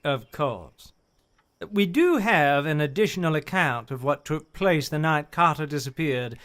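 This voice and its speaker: High-voiced formal gentleman. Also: lively intonation. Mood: earnest, intrigued. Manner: formal, measured storytelling. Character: earnest, thoughtful gentleman recounting an occult mystery. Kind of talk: radio drama acting.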